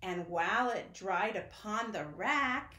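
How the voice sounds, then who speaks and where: A woman reads a children's story in a lively, swooping, sing-song way. She takes her time and speaks directly to young listeners, in an echoey room. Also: mid-range voice, slow. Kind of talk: children's picture-book read-aloud. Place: indoor room at home.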